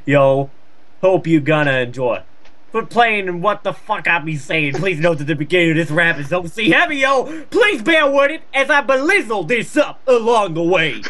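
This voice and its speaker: A man's voice: high voice, very animated, moderate pace, pushed and bright. A man co-reading fan fiction aloud in a joking, casual style, exasperated and prone to swearing.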